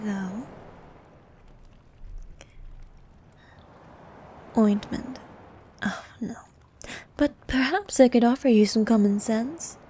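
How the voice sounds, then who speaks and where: A woman playing a bossy, haughty ancient sea queen monster in an ASMR roleplay, with a soft, partly whispered voice and regal, condescending manner. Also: on the high side, husky, full character voice. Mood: smug. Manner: regal, condescending, formal, unhurried.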